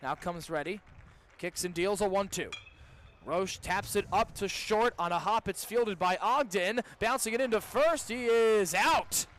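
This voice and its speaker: A young man with a fairly high voice calls a baseball game live for radio. He works as the play-by-play announcer, describing the action as it unfolds. Ballpark noise is audible behind him. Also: a little slow, fairly bright tone. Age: young adult. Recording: clear background noise, live ballpark broadcast.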